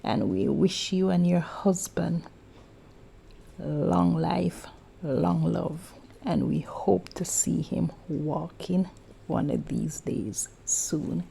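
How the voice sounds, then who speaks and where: A woman tells the story in a slow, deliberate way. Her voice is gravelly and dark, often sliding into a soft half whisper. She sounds warm and encouraging as she narrates. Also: fairly low pitch, slight background noise. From Jamaica. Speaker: vlog host. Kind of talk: scripted narration.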